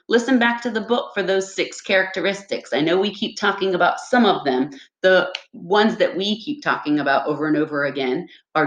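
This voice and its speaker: Low-voiced woman teacher in an echoey room. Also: muffled recording. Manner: instructional, addresses children directly with check-in questions. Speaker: elementary school teacher. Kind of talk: read-aloud lesson for young children.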